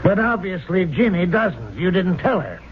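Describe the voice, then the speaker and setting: A man's voice: high voice, sing-song, moderate pace. An actor playing an impatient American businessman father in a radio drama, blunt and exasperated, with an American accent. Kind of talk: 1970s radio drama acting. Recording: dull, noisy old radio broadcast.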